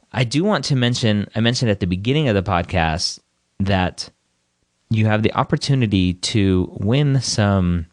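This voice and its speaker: A man's voice: sits low, dry recording, studio quality. A man hosting a podcast, introducing interviews in an upbeat, encouraging way. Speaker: podcast host.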